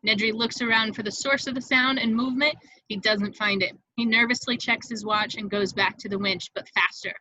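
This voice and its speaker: A woman narrates stage directions for a script read with vivid, dramatic storytelling. Her voice is fairly high and very bright. The recording is close and dry. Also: fairly even intonation, brisk, no echo, lo-fi sound.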